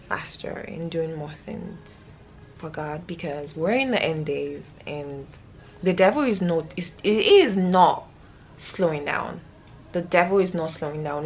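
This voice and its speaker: A woman's voice: lowish voice, a little hiss or hum, rough recording. A young woman in her twenties from Nigeria, with a Nigerian accent, talking casually and earnestly into a close camera in a small bedroom, rambling with fillers as she reads a devotional. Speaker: vlogger. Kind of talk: casual devotional vlog, praying and reading aloud. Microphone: camera close up at face level.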